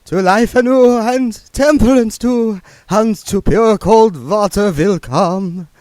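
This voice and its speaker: A man voicing an anxious male vampire fighting his thirst, who talks slowly and tremblingly and bursts into wailing song. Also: mid-range voice, big pitch swings, no echo, voice pushed up. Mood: fervent singing.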